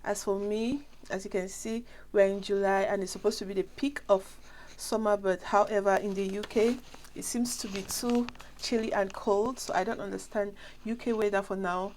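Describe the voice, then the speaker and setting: A woman's voice: mid-range voice, fairly even intonation, normal speed, a little mellow, slight background noise, poor audio. A young woman with a Cameroonian accent who has a flu, chatting casually and ramblingly as she addresses her viewers directly. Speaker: vlogger.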